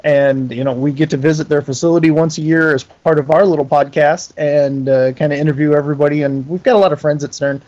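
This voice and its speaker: Higher-pitched man, folksy podcast guest. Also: steady tone, fast talker, few pauses, darkish tone, dull audio. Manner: self-deprecating, folksy, enthusiastic. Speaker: interview guest, pinball company owner. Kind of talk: casual conversational interview.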